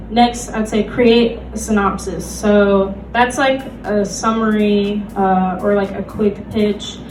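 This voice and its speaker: A woman giving an informal convention panel presentation in a casual, hesitant, conversational way. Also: medium pitch. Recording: roomy, distant mic, loud background music.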